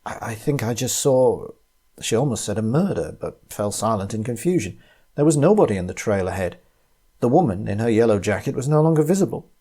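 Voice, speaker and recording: A man reading a short story aloud as its narrator, in an expressive, dramatic way. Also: lowish voice, fast, slightly rough.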